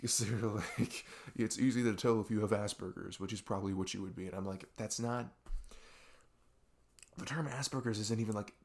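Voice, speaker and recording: A man playing a young best friend who confides in a halting, rambling, exasperated way in an ASMR audio roleplay. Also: on the low side, creaky. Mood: frustrated, rambling. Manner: rambling, halting, exasperated sighs and nervous laughs. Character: young male best friend venting about arguing with parents.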